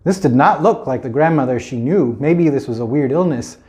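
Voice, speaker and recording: A man's voice: medium pitch, brisk, darkish tone. A man telling a children's fairy tale informally, as a storyteller, with playful, chatty asides.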